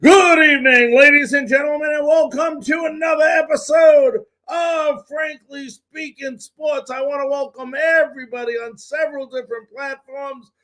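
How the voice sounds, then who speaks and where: A man's voice: extremely high pitch, fairly even intonation, normal speed, hardly any pauses, bright, forceful tone, rough recording. A man hosting a live sports talk show, recapping games and addressing viewers in an emphatic, folksy way.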